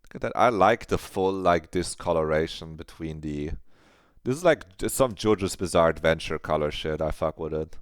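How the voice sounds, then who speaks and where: A man's voice: very deep voice, animated, moderate pace, clean tone, no echo, studio quality. A man streaming a visual novel, chatting casually and swearing now and then. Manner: laid-back, swears casually.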